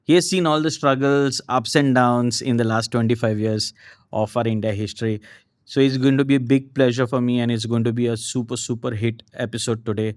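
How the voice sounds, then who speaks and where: A man's voice: mid-range voice, steady tone, fairly quick, no room sound. Male podcast host, hesitant and affirming, dry close sound. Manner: hesitant, many fillers, warm affirmations. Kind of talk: interview hosting.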